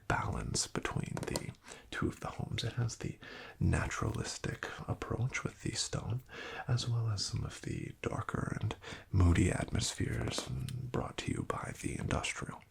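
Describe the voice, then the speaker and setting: A man speaking in a breathy, often whispered ASMR style, playing a friendly, unhurried real estate agent. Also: mid-range voice. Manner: friendly, unhurried, gently attentive salesman. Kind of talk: soft-spoken, whispered ASMR roleplay.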